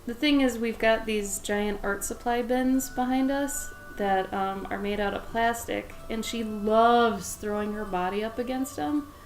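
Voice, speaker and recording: A young woman with a somewhat raspy voice, chatting casually while she draws on a livestream and talks to her dog and to viewers. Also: medium pitch, slight background noise, low-quality recording. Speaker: livestreaming pet portrait artist. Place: home art studio with plastic bins.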